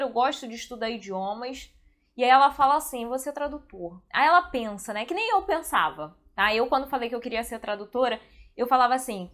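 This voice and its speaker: A woman's voice: fairly high pitch, a bit bright. A woman with a Brazilian Portuguese accent, a translator talking chattily and directly to her viewers. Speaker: translator and video host. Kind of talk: casual talk to camera.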